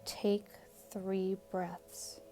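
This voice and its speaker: A woman leading a guided meditation in a calm, soothing, measured way, leaving long pauses between short phrases. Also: medium pitch. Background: background music.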